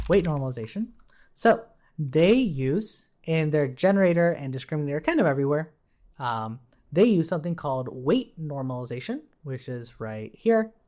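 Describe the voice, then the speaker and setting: A man's voice: fairly high pitch, a little mellow. A man giving a casual live coding tutorial, thinking aloud in an informal, explanatory way as he works. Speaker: programming tutorial instructor.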